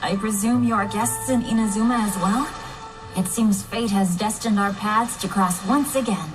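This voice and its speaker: A woman's voice: medium pitch, fairly even intonation, moderate pace, lightly acted. A woman voicing a courteous, bookish young boy in a video game, speaking formally and eloquently over music. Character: polite, bookish young boy of a merchant family. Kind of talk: video game character dialogue. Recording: music behind the voice.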